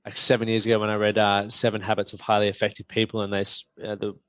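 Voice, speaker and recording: A man hosting a podcast, relaxed, upbeat and chatty. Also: lowish voice, quick pace, dry recording. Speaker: podcast host. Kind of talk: casual conversational interview.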